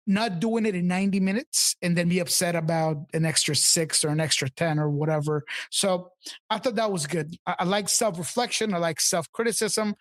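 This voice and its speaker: A man with a slightly raspy voice co-hosting a casual sports podcast, talking loosely and emphatically with lots of fillers and restarts. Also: sits high. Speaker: podcast co-host, team insider.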